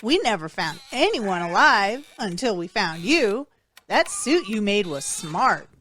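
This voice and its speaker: A woman's voice: medium pitch, very animated, unhurried, fairly bright tone. A woman playing a kindly, folksy rural woman who shelters survivors in an audio drama, speaking in a soothing, motherly manner. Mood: gentle concern.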